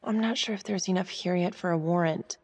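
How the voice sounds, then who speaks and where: A woman playing a careful, supportive confidante to a detective in a game drama, measured and questioning. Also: lowish voice, breathy edge, big-room sound. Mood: cautious. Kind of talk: naturalistic dramatic game acting.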